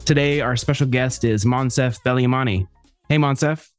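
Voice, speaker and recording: A man's voice: mid-range voice, moderate pace, clean tone, faint music behind. A man hosting a podcast, delivering introductions to the show and its guest. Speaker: podcast host.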